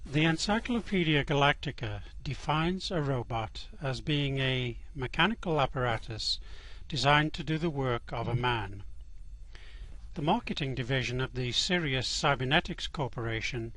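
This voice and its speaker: A man's voice: mid-range voice, lively intonation, normal speed, fairly bright tone, close to an everyday voice, rough recording. A man playing the dry, matter-of-fact narrator of a guidebook in an amateur radio play. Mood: dryly informative.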